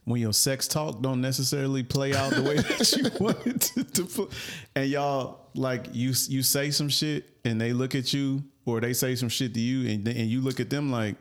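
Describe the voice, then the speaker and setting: A man's voice: medium pitch, moderate pace. Profane, laughing podcast co-host man. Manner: blunt, profane, laughing storyteller. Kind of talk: casual chat.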